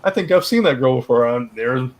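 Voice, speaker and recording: A middle-aged man co-hosting a casual live stream, rambling with lots of fillers and restarts, his voice turning hoarse. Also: mid-range voice, expressive, brisk. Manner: rambling, many fillers and restarts, laughs often. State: getting hoarse. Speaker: live stream co-host, publisher.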